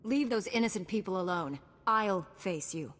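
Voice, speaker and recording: A woman's voice: medium pitch, expressive, moderate pace, a bit bright, big-room sound. A woman playing a firm, righteous young female knight in a sci-fi video game cutscene. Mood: stern, resolute.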